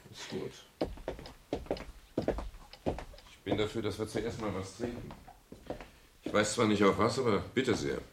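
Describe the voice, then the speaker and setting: An actor playing a tough, unflappable private detective, sardonic and mock-polite, in a 1970s radio crime drama. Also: male voice, lowish voice, normal speed, noisy background, lightly acted.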